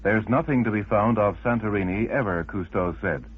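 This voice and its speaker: A man's voice: fairly low pitch, moderate pace, husky. A man reading a scripted news report in the role of a news reader. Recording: muffled old radio recording.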